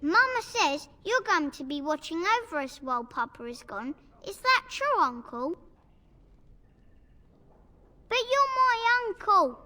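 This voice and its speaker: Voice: extremely high pitch, big pitch swings, moderate pace, few pauses, sharp tone, exaggerated voice. An actor voicing an eager, playful and curious small boy in a video game. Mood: innocent, curious.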